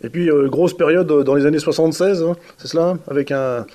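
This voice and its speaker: A man's voice: a little high, fast, dark tone. A man interviewing a guest, asking casual questions and often checking back with tag questions. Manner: informal, adds tag questions.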